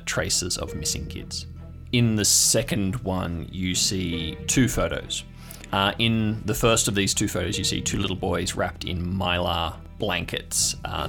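A man narrating an improvised tabletop story as game master, in a measured, descriptive way with halting phrasing. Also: low-pitched, vocal fry.

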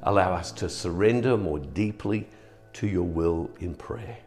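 Croaky, deep-voiced man giving a devotion. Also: unhurried, soft background music. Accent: Australian. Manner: gentle, repetitive, earnest. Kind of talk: short devotional reflection and prayer.

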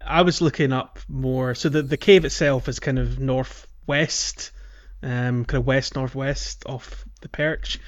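A man's voice: medium pitch, steady tone, a little slow. A man chatting casually as a gaming podcast host, walking through things in a hesitant, explanatory way.

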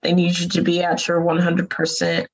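Husky, low-voiced woman giving caregiver advice. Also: completely flat delivery, moderate pace, poor audio. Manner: earnest, encouraging, repeats phrases for emphasis. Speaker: guest, caregiver. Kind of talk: advice in an interview.

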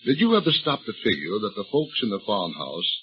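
A folksy, drawling old detective. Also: male voice, on the low side, fairly quick. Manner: drawling, folksy, calmly needling. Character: shrewd folksy older male police detective. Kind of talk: 1930s radio drama acting. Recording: dull old radio recording with faint hiss.

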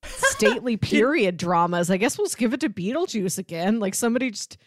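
A woman's voice: medium pitch, very animated, brisk, no echo, clean studio sound. A woman co-hosting a film podcast, chatting casually about movies in a wry, joking way.

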